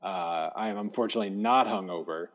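A man co-hosting a sports podcast in a casual, halting, self-deprecating way, his voice slightly hoarse. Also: lowish voice. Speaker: sports podcast host and writer. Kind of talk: casual football podcast chat.

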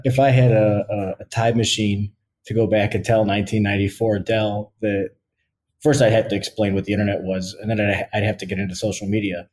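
A middle-aged man co-hosting a podcast, excitable and laughing, with casual words like "freaking" and "dude". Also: lowish voice, a little fast, slight background noise. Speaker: podcast co-host and business owner. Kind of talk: casual chat.

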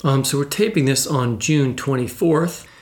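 A man's voice: medium pitch, moderate pace. A man, co-hosting a podcast in a casual, chatty style. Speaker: podcast co-host.

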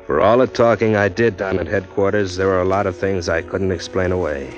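A man's voice: sits low, steady tone, fairly quick, muffled recording, natural voice. An actor playing a hard-boiled man narrating his own downfall in a 1940s radio drama. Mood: weary. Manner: hard-boiled, bitter first-person narration. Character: hard-boiled condemned man, weary and bitter. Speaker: narrator and lead, a man arrested for murder. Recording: old radio recording with music.

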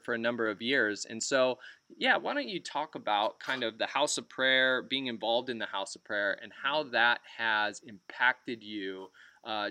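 A man's voice: medium pitch, sharp tone, dry recording. A man hosting a podcast interview, enthusiastic and leaning on "uh" fillers. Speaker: podcast host.

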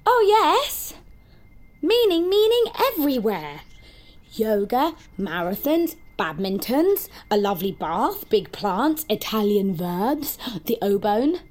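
A woman acting an earnest, naive, literal-minded companion in an audio drama, speaking in a simple, guileless, deliberate way. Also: fairly high pitch, sing-song, a little mellow. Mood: earnest, wondering. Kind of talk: naturalistic audio fiction acting.

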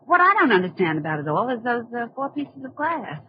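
A greedy, sardonic swindler in a vintage radio crime show. She needles in brassy slang with very animated pitch. The recording sounds dull, with a light layer of noise. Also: a little fast. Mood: curious, needling. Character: brassy, greedy female swindler, crook's sweetheart. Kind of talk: old-time radio crime drama acting. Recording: muffled, noisy old radio drama recording.

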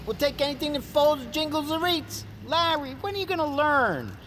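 A man's voice: very high voice, very animated, moderate pace, background noise. An actor playing a weary homeless man in an audio drama, grumbling and colloquial, a loyal buddy. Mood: pleading, annoyed. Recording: street ambience with passing vehicles.

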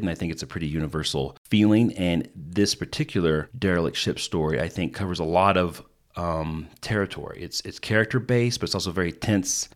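A man hosting a podcast, giving a casual spoken introduction. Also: sits low. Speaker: podcast host.

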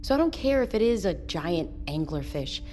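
A woman's voice: lowish voice, very animated, moderate pace, a little mellow, faint music behind. An actress playing an earnest, determined expectant mother in a naturalistic audio drama, speaking sincerely.

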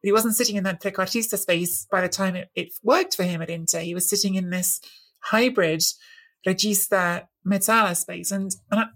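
A woman's voice: medium pitch, steady tone, fairly quick, a bit bright. A woman co-hosting a football chat, analytical and thoughtful, sometimes hunting for the right word. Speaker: football podcast co-host.

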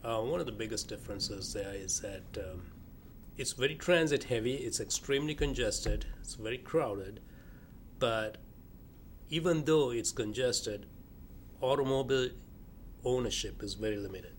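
A man, a city official, answering interview questions in a calm, precise, explanatory way. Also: medium pitch, moderate pace, slight background noise. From Sri Lanka. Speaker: public works director, guest.

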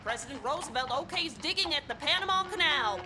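A woman's voice: lively intonation, fairly quick. A woman voicing a young newsboy calling out papers in a period radio drama. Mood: hawking. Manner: shrill street-hawker calling. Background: music.

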